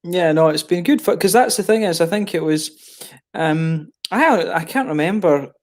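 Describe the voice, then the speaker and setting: Halting, laughing man hosting a stream. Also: a little high, lively intonation. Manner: halting, many restarts, laughs often. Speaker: stream host. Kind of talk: casual chat on a stream.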